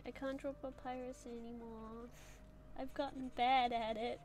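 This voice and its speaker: A woman's voice: on the high side, level tone, a little slow, a little mellow. A young adult woman chatting casually while she draws on a live stream, answering chat with many "like" and "um" fillers. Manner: rambling, full of "like" and "um" fillers. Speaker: streamer drawing and reading chat.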